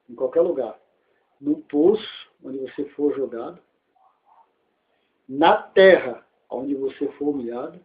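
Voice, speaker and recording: A Brazilian man preaching a sermon, retelling a Bible story dramatically and putting rhetorical questions to his listeners. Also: a little high. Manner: dramatic storytelling, acting out dialogue. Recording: thin, phone-like narrow-band audio.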